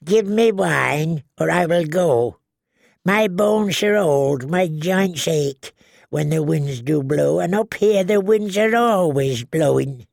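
A man voicing an ancient, tiny dwarf woman seer who speaks slowly and eerily with a croaking, drawn-out voice. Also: a little high, darkish tone, no echo, studio quality, pitched above the performer's own voice. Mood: wheedling. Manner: slow, wheedling, prophetic. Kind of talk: audiobook character voice.

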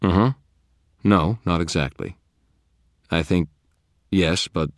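A man's voice: deep voice, slow pace, no room sound, clean studio sound, pitched above the performer's own voice. A man voicing a patient, serious friend, speaking politely and earnestly with some hesitation. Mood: polite, hesitant.

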